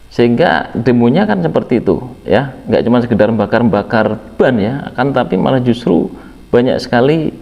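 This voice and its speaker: A man's voice: on the low side, darkish tone, in a large hall. A man commenting on a video clip in a rambling way, with many fillers and repeated words of agreement. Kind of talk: reaction commentary.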